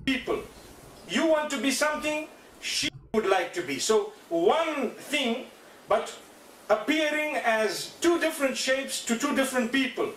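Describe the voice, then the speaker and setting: A man giving a calm religious lecture, speaking softly into a desk mic in an indoor lecture room. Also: extremely high pitch, unhurried. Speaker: preacher in played clip. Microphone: desk mic on stand. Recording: echoey, distant, faint hiss.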